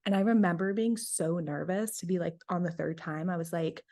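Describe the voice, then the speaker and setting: A woman's voice: fairly low pitch, fairly quick, mellow. A woman in her late twenties from North Carolina, a diabetes health coach, chatting casually as an interview guest, laughing often and filling with "like". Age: 20s.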